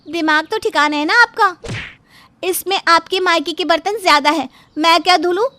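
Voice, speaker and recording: A woman's voice: very high-pitched, normal speed, bright, forceful tone, no room sound. A woman playing a quarrelsome, indignant younger daughter-in-law in a story, whiny and argumentative with exaggerated delivery. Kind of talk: animated Hindi moral story dubbing.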